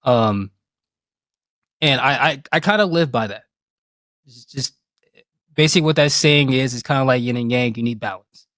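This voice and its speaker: A man's voice: medium pitch, moderate pace, long pauses, fairly bright tone, no echo, clean studio sound. A man speaking as an interview guest about himself in a candid, reflective, colloquial way. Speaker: guest, game streamer. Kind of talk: casual interview conversation.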